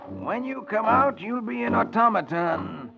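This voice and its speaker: A man's voice: high-pitched, very animated, creaky, pitched above the performer's own voice, exaggerated voice. A man playing a gloating space villain. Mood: sneering. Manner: slow, gloating sneer. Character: sneering villainous male space tyrant. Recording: dull old radio broadcast, music behind.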